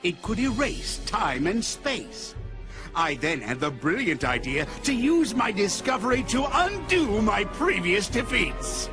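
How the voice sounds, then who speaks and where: Game villain, pompous mad genius, high pitched, animated delivery, theatrical, boastful. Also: male voice, a little slow. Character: pompous middle-aged male mad scientist villain. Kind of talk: video game cutscene acting. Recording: loud music behind.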